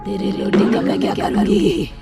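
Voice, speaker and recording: A woman voicing a menacing flying witch who draws out gloating threats and cackles, in an animated horror story. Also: medium pitch, moderate pace, roomy sound. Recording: distant voice under clear music.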